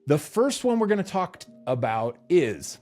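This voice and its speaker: A man's voice: fairly high pitch, very animated, moderate pace, a little mellow, faint music behind. A man co-hosting a podcast in a studio, chatting casually and joking, often laughing. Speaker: podcast co-host. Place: recording studio.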